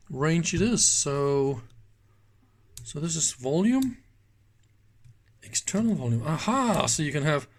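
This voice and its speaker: A man giving casual hands-on commentary at an indoor workbench, thinking aloud informally with small exclamations. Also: a little high. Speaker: host of electronics teardown videos.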